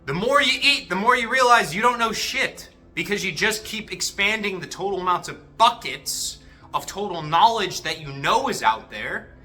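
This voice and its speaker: Young male vlogger, high, very bright voice, profane and animated, with faint music behind. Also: moderate pace. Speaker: motivational vlogger. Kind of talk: casual motivational talk. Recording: echoey room, faint music.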